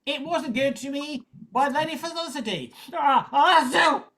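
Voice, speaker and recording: A woman's voice: high voice, moderate pace, a bit bright. A woman playing a nervous, fawning royal baker in a comic radio play, stammering and flustered.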